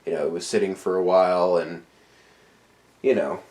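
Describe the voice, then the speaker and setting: A man with a lowish voice gives a casual, rambling show-and-tell of a fan from his collection. He sounds a little nasal and tired after dental work. He often stops and restarts as he talks. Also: fairly even intonation, moderate pace, a little mellow. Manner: rambling, hesitant, with many restarts. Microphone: camera on a tripod.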